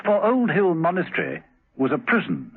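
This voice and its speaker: A muffled vintage radio recording of a man narrating an adventure drama. His voice is husky and medium-pitched, with lively, swinging intonation in scripted 1970s style. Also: moderate pace.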